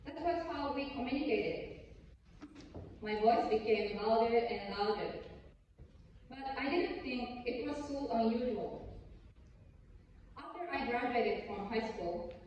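A woman's voice: on the high side, slight background noise. Young japanese woman speaking in an echoey hall. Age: young adult. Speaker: student speaker. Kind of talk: memorized speech contest talk. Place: large auditorium stage at a lectern. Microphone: lectern mic, filmed from far in the hall. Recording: distant, echoey hall, dull sound.

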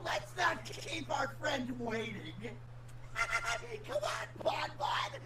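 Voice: extremely high pitch, takes it slowly, roomy sound, far from the mic, very noisy, full character voice. An actor voicing a manic, gleefully menacing animatronic bear party entertainer in a game, theatrical and sing-song. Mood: playful menace. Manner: theatrical, sing-song, over-excited showman. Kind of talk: exaggerated game character voice acting.

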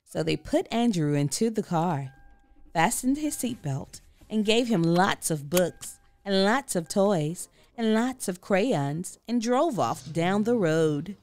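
A woman reading a children's story aloud expressively, with theatrical, deliberate emphasis. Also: medium pitch, expressive, darkish tone, soft background music. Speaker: storybook reader.

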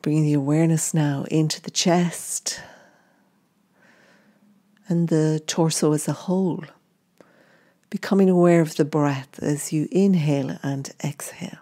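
Woman, low mellow voice, slow pace, gentle guided meditation. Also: steady tone. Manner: gentle, unhurried instruction in short phrases.

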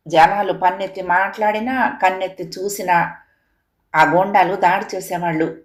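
Woman storyteller with a medium-pitched voice. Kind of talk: scripted moral folk tale narration.

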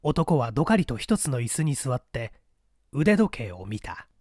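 A man reading a mystery story as a scripted, dramatic narration in a deliberate, theatrical storytelling manner. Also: mid-range voice, very animated, a little mellow, slightly rough, no echo, clean studio sound. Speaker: audiobook narrator.

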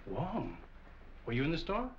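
A man's voice: on the high side, wildly swooping pitch, unhurried. An actor playing a well-mannered older businessman, polite and a little hesitant, in 1940s film style. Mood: shaken. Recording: muffled, hissy 1940s film soundtrack.

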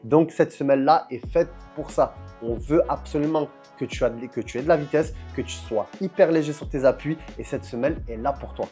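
A young man reviewing football boots to camera in a room lined with shelves, talking in an energetic, chummy way and calling the viewer his soldier. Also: medium pitch, a little fast, few pauses, soft background music. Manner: energetic, chummy, addresses viewer as "mon soldat". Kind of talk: casual product review to camera.

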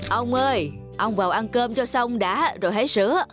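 A woman's voice: a little high, normal speed, over music, close to an everyday voice. A woman voicing a caring old grandmother in a cartoon, mildly chiding and concerned. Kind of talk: cartoon dub acting.

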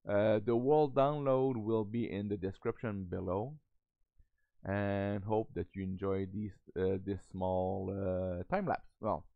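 Hoarse, gravelly man on a tinny mic. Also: on the low side, steady tone, dry recording. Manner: halting, many fillers and restarts. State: losing his voice, has a cold. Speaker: Minecraft server host. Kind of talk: casual gameplay voice-over. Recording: tinny phone-like lo-fi sound.

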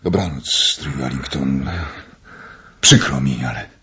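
An actor playing a resolute young gentleman in love, speaking formally and earnestly in a radio drama. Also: a bass voice, slow, background noise, exaggerated voice. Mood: resolute, grave. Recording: slightly dull audio with music underneath.

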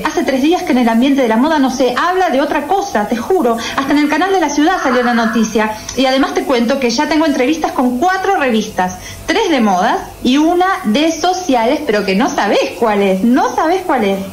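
Woman acting high-voiced gushing Argentine daughter. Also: expressive, normal speed. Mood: excited. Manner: gushing, emphatic. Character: gushing, excitable young adult daughter in fashion. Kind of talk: radio soap acting. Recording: echoey, slightly noisy radio drama recording.

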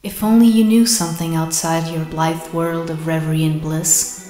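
A gloomy, sardonic cartoon girl broods in inner monologue played by a woman. Her lowish voice echoes over prominent music. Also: flat delivery, normal speed, dark tone, close to an everyday voice. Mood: bitter, brooding. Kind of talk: melodramatic inner monologue, anime parody dub.

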